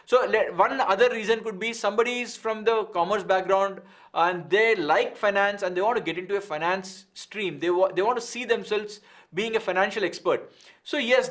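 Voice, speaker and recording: A man's voice: high-pitched, fairly bright tone. A man with an Indian accent teaching a live online coaching session, explaining frankly with rhetorical questions and answering viewers. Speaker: exam coaching instructor.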